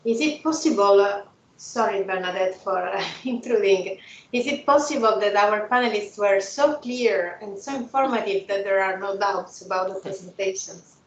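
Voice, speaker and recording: A woman's voice: mid-range voice, normal speed. A woman, an organizer, speaking politely and formally to close the panel. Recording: echoey, dull, faint noise.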